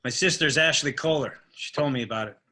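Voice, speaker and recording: A man playing a kind, steady young police officer at a film script table read. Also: medium pitch, brisk. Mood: questioning.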